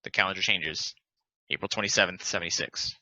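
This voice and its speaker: A man's voice: sits low, a little fast, sharp tone, no room sound, low-quality recording. A man reading scripted stage directions as narrator in a play table read.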